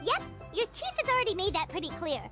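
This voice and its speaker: Tiny eager game fairy: extremely high, squeaky and childlike, lively swings, music behind. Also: female voice, quick pace. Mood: confident. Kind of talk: anime video game voice acting.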